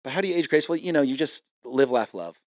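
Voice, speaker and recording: Podcast guest, mid-thirties man, relaxed and rambling, middle pitch, dry close mic. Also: rapid-fire, no room sound. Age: 30s. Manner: laid-back, rambling with fillers. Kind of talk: casual chat. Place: home room.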